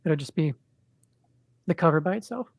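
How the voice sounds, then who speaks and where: A man chatting as a guest on a podcast, in a monotone, rambling way with lots of ums and restarts. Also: on the high side, normal speed, creaky, no room sound. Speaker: podcast guest, indie comic creator. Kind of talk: casual podcast chat.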